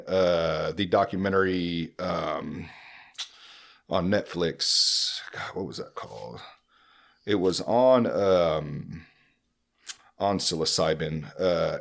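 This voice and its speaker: A man with a very low voice hosts a casual podcast conversation. He talks earnestly and loosely, circling back with fillers and restarts as he works through his point. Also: vocal fry. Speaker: podcast host.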